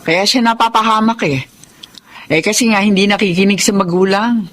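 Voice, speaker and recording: A woman's voice: medium pitch, normal speed, natural voice. A Filipino woman playing a stern, disapproving middle-aged mother in a radio drama, blunt and fretful.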